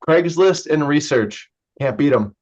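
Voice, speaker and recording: A man's voice: on the high side, a little mellow. An actor playing a polite, modest, guarded young man in a virtual staged reading. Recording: dull, lo-fi online call audio.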